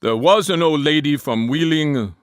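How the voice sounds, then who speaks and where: A man voicing a pompous, fussy German consulate official with a German accent and a formal, deadpan, repetitive manner. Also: a little high, normal speed, smooth, no room sound, studio quality, natural voice. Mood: earnest, formal.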